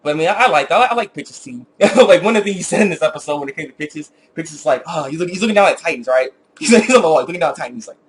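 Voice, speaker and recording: A man casually reviewing an anime episode off the cuff, retelling scenes in an animated, rambling way and acting out quotes. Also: on the high side, very fast, few pauses. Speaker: anime reviewer with his own video channel.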